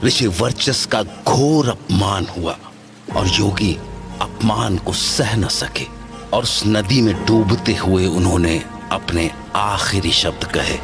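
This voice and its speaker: Slow, solemn male horror narrator. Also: sits low, gravelly. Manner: solemn, dramatic. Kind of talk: scripted horror story narration. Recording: music behind the voice.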